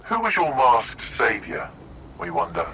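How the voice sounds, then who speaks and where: A man's voice: fairly low pitch, wildly swooping pitch, unhurried, sharp tone, full character voice. An actor voicing a sinister, anonymous male terrorist leader in a video game, mock-polite and taunting in a recorded message. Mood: mocking menace. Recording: clearly noisy playback of a message.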